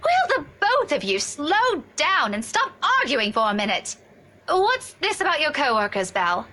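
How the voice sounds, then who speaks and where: A woman's voice: high voice, huge pitch swings, moderate pace, sharp tone, exaggerated voice. An actress playing a level-headed young woman in a game, firm and earnest, defending herself. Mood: stern.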